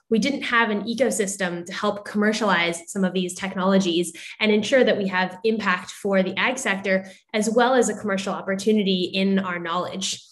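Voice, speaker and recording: American lady moderating a zoom talk, clear and upbeat, mid-aged. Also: medium pitch, fairly bright tone. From United States. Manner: upbeat and articulate, occasional fillers. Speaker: moderator introducing startups. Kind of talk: prepared presentation on a video call. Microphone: video call.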